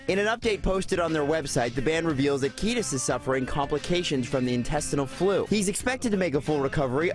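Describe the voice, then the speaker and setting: A man, likely in his thirties, presenting scripted entertainment news in a television studio. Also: fairly high pitch. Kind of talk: scripted broadcast narration. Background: music bed.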